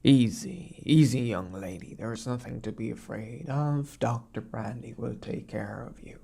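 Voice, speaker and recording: A woman playing a gruff, bossy asylum orderly in an audio horror drama. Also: extremely low pitch, unhurried, warm tone, heavily acted. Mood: firm.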